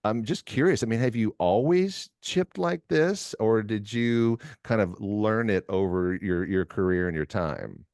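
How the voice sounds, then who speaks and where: The low-voiced, effusive man hosting a sports podcast, rambling through stories for his guest, close and dry in the earbuds. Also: animated, unhurried, few pauses, darkish tone, no echo. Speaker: podcast host and amateur golfer. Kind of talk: casual, enthusiastic podcast interview.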